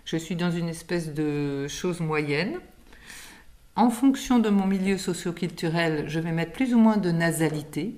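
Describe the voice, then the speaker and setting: A woman from France speaks in a slightly low voice, a singing teacher being interviewed about her work. She explains patiently, reaching for everyday examples and breaking into light laughs. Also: measured pace, a little mellow. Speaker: singing teacher and author, guest.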